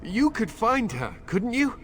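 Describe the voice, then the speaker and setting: An actor voicing an earnest, anxious village father with a bitter streak and a halting, pleading manner, in a fantasy video game. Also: extremely high pitch, sing-song, soft background music, full character voice. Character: grieving, anxious village man, bitter son of a dead mage. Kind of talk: dramatic video game acting.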